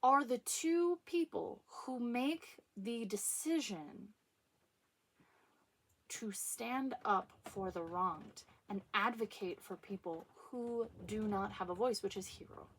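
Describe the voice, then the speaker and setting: A woman giving casual, opinionated commentary on literature in an emphatic way, with dramatic pauses. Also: mid-range voice, a bit bright, a little hiss or hum, rough recording.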